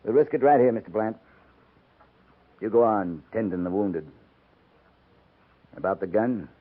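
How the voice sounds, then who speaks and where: Stern commanding officer for a 1950s radio western: lowish, measured delivery, boxy vintage recording. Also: male voice, narrow, tinny audio. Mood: firm, controlled. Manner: measured, terse, authoritative. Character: stern, steady cavalry captain commanding a besieged detachment.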